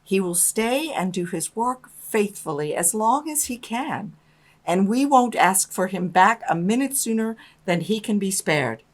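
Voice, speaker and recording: An actress playing a loving, patient mother in a dramatised storybook, with a gentle and measured manner. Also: medium pitch, expressive. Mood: patient, firm.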